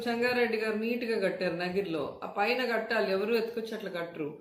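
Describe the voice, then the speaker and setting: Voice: mid-range voice, fast talker. A person giving political commentary. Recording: very noisy recording.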